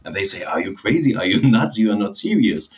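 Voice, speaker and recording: A man's voice: fairly low pitch, very animated, fast. A man from Germany with a German accent, whose first language is German, telling his story as a guest in earnest, plain speech that often repeats phrases. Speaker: guest, online seller. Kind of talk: casual interview answers.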